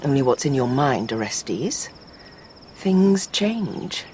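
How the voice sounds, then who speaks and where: A woman acting a cool, ambitious, scheming Roman mother in a radio drama, deliberate and insinuating. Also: rumbling low, sing-song, darkish tone, slight background noise, exaggerated voice.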